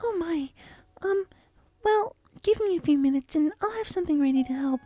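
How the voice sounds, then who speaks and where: Storybook pony played by a man: timid young mare, extremely high, mellow, sweet and soft-spoken, close dry sound. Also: animated, moderate pace, no room sound. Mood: timid, hesitant. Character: shy gentle young female pegasus pony.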